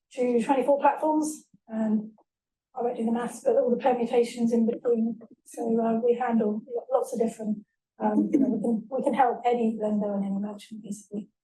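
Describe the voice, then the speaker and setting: A woman's voice: on the high side, warm tone. A woman speaking on a panel as a tech founder, businesslike, with frequent ums and restarts. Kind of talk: panel talk. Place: event hall. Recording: echoey room, distant mic.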